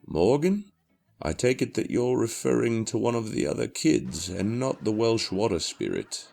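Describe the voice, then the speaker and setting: A man playing an older widowed father in an audio horror drama, tipsy, gravelly, dismissive yet affectionate. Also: lowish voice, big pitch swings, moderate pace, darkish tone. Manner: dulled with liquor, dismissive, soothing.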